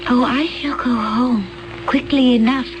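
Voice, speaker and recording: An actress playing a calm, otherworldly young woman from another planet, speaking in a slow, measured, detached way, in a 1970s radio drama. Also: a little high, noisy background, natural voice.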